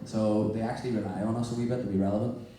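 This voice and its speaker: A man's voice: lowish voice, steady tone, very fast, a loud crowd. A man with a Northern Irish accent talking wryly and sardonically as a guest on a live onstage panel. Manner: wry, sardonic, chatty. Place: large indoor venue before an audience. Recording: echoey hall from far off.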